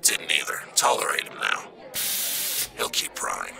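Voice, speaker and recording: An actor playing a gruff special ops veteran in an audio drama, terse and deadpan. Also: male voice, unhurried.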